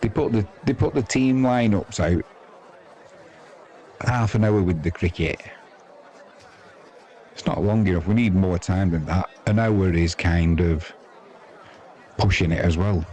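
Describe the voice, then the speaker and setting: Bloke with a low voice doing matey rambling cricket stream chat, crowd noise behind him. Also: male voice, unhurried, long pauses, dark tone. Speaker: live sports stream host and cricket commentator. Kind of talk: casual live commentary and chat with viewers.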